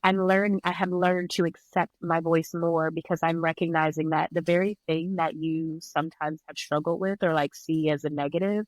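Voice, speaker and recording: A woman's voice: low-pitched, steady tone, normal speed, hardly any pauses, no room sound. Earnest woman guest with a congested voice. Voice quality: nasal, congested. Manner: reflective, earnest, with frequent "like" fillers. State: recovering from illness. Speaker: podcast guest, breathwork and yoga instructor. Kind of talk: conversational interview.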